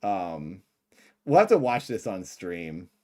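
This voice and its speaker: Middle aged guy w a lisp, lowish voice, chatty rambling stream talk, trails off. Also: very animated, slow pace. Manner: rambling, laughing asides, trails off quietly. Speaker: livestream host. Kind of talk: livestream reaction commentary.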